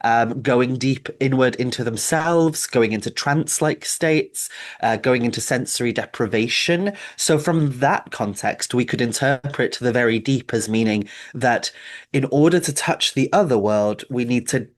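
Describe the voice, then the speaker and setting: A man from Wales with a Welsh accent, explaining folklore conversationally in an animated, emphatic storytelling manner, repeating phrases for stress. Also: mid-range voice, a little slow. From Wales, Isle of Anglesey. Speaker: podcast guest, folklore teacher and author.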